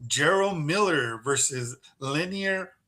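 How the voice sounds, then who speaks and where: Casual fight-podcast co-host, man, fairly high voice, easygoing and loose. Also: expressive, slow. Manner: loud, laid-back, laughs often, says bro.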